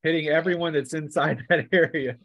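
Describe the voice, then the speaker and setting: A man's voice: on the high side, brisk. A man running a tabletop role-playing session as game master in a casual, playful manner. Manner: playful, chuckling, acts out sound effects and shouts.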